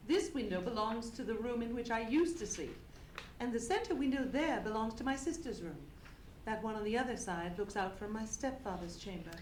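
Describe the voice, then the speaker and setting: A woman playing an anxious, earnest young gentlewoman in a live radio play, polite in manner. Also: fairly high pitch, lively intonation, moderate pace, close to an everyday voice. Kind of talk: live old-time radio play acting. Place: theatre stage, indoors. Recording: echoey live stage with faint noise.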